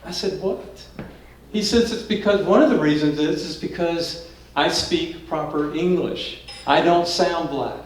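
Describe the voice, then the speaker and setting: An older man introduces his panel, reflective and good-humored, his voice pitched a little high for a man. He sounds far from the mic in a strongly echoing hall, with faint noise underneath. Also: a little slow. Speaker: event host. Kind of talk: introductory talk to an audience. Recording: echoey room, distant mic.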